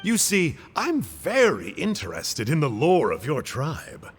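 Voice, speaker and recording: A man's voice: a little high, wildly swooping pitch, measured pace, in a large hall, soft background music. A man voicing a cheerful, cheeky male agent of a villainous order, mock-polite and teasing.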